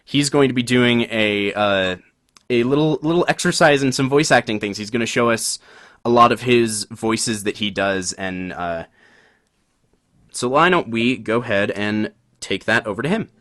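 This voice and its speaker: A man's voice: mid-range voice, normal speed, dry recording, studio quality. A man co-hosting a podcast, talking casually about acting, rambling with lots of fillers. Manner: relaxed, rambling, many fillers. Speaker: podcast co-host. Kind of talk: casual chat.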